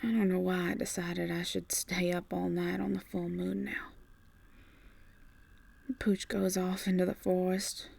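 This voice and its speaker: A woman playing a tender, worried Southern US farmer sweetheart in an audio roleplay, in a breathy, husky voice that is often near a whisper, with a soft, halting drawl. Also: fairly low pitch, flat delivery, full character voice. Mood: wistful, worried. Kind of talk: ASMR audio roleplay acting.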